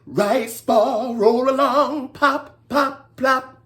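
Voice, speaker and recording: A woman's voice: high-pitched, drawn-out words, a bit bright, soft background music, voice pushed up, exaggerated voice. A woman voicing happy, grateful mice in a children's folktale, chanting in a cheerful singsong. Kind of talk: singsong children's storybook acting.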